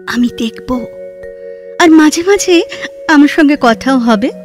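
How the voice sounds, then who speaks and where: A woman playing a reserved, bookish, introspective woman in an audio drama, speaking in a measured, hesitant and expressive way. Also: high-pitched. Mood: musing, dreamy. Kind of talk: Bengali audio drama dialogue. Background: background music.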